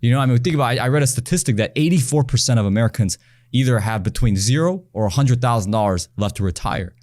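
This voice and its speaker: A man hosting a casual interview, agreeable and quick to affirm his guest. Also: medium pitch, darkish tone. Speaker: host.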